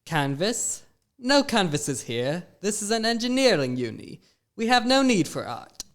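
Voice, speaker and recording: A woman's voice: medium pitch, big pitch swings, measured pace. A woman voicing a proper, old-fashioned school founder who talks in a genteel, formal way.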